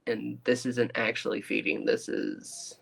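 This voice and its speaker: A man's voice: mid-range voice, flat delivery, unhurried, creaky, a bit bright. A man co-hosting a casual review chat, giving his opinions with emphasis. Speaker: podcast co-host reviewing audio dramas.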